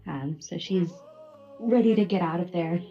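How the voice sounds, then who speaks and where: A woman's voice: fairly low pitch, moderate pace, dark tone. A woman playing a tabletop game, casually describing what her character feels and does. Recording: slightly dull sound, music behind.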